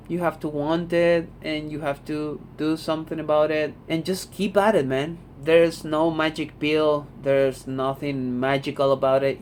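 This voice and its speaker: A man's voice: fairly high pitch, a little hiss or hum. Blunt young Argentine man. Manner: blunt, repeats "man", swears freely. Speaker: vlogger. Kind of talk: casual talking-head monologue. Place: home room.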